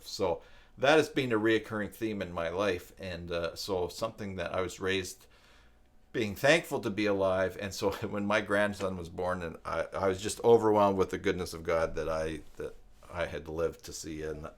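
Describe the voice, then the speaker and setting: Middle-aged male live stream host, lowish voice, casual, rambling, thinks out loud. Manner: rambling, thinking aloud, repeats himself. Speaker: live stream host, web tutorial maker.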